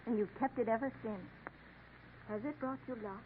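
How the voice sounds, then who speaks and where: An actress playing a kind, tactful young café worker in a 1950s radio drama, soft and sympathetic. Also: female voice, fairly high pitch, moderate pace, natural voice. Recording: tinny old radio broadcast, faint hiss.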